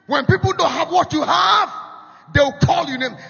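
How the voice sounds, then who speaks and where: A man with a Ghanaian accent preaching a sermon, shouting in sing-song, repeating rising phrases in quick bursts. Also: very high voice, vocal fry. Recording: dull lo-fi sound with long hall reverb.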